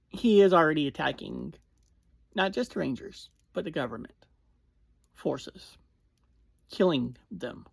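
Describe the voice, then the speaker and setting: An online reviewer giving a casual episode recap in short, halting phrases with pauses between them. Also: mid-range voice, creaky, dry recording.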